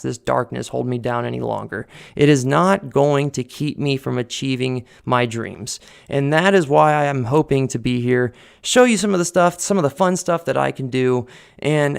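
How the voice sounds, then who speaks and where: Earnest man with a gravelly voice. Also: medium pitch. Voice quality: loud voice. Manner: rambling, earnest, many fillers and restarts. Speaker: vlogger. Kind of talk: casual personal talk to camera. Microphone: desk condenser mic on a boom arm.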